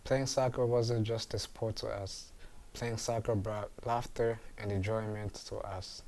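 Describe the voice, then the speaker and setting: A young man from Uganda reading his own memoir aloud in an earnest, measured way. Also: on the low side, mellow, slight background noise. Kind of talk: read memoir narration.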